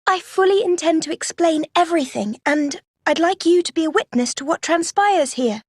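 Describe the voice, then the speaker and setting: A woman playing a poised, confident young British detective in a game, explaining in measured, formal sentences. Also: very high-pitched, no room sound, studio quality. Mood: poised, explanatory. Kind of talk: scripted game voice acting. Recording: loud music under the voice.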